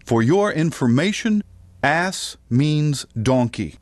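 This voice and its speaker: A man's voice: a little high, animated, slow pace, dry recording. A man voicing a scolding, pious old mother in an audiobook, indignant, prim and preachy. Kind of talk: audiobook character voice.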